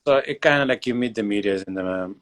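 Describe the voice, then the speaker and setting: Man, forties, from Bulgaria, English, deep voice, halting with lots of fillers, video call. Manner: hesitant, many fillers and pauses. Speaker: guest leatherworker and shoemaker. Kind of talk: casual interview over video call.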